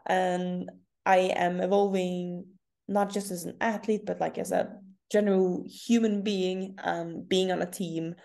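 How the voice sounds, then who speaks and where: A young woman from northern Norway talks in English with a Norwegian accent, a relaxed interview guest who laughs easily. Her voice sits low and sounds warm and mellow. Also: measured pace, creaky. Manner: candid, laughs often. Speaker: CrossFit athlete guest. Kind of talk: casual interview.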